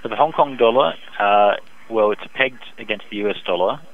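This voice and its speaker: A man speaking as an expert economist in a phone interview, giving measured, hesitant, technical market analysis. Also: fairly low pitch. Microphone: telephone. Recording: dull band-limited phone line.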